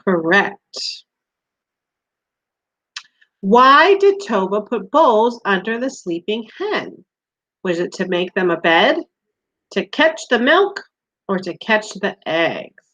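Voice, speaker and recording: Animated woman teacher quizzing young children. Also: mid-range voice, lo-fi sound. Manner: simple questions with pauses and encouragement, addressed to small children. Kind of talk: interactive read-aloud quiz for young children.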